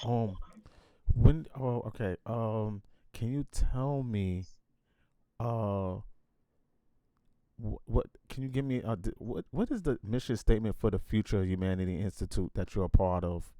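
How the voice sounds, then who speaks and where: Podcast host, male, hesitant halting questions, dark mellow voice, dry sound. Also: on the low side, dry recording. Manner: hesitant, many fillers and restarts in questions; emphatic hype intro. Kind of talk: casual interview chat.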